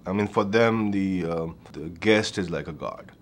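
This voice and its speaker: A man's voice: low voice, normal speed, slight background noise. A man explaining conversationally as an interviewee in a documentary. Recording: music playing behind the voice.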